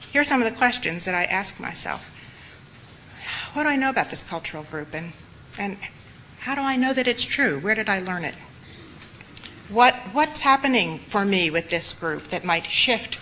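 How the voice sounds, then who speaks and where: Earnest, bright-voiced woman lecturing. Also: medium pitch, slight background noise, rough recording. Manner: earnest, reflective, with emphatic repetition. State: slightly hoarse from a cold. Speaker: lecturer for medical students. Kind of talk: spoken lecture.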